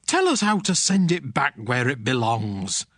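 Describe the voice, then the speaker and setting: Man acting a worried townsman, lively pitch. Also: measured pace, no room sound, clean studio sound. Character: worried ancient townsman seeking advice. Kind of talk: dramatized audiobook acting.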